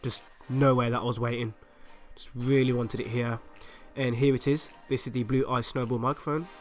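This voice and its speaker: Male vlogger, raspy and hoarse from a flu, casual upbeat talk to camera, mid pitch, home desk mic with faint music under. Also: fairly even intonation, moderate pace. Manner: chatty, upbeat, direct to viewers. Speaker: YouTube channel host. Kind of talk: casual product review and unboxing. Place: at home, indoors. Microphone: USB desktop microphone.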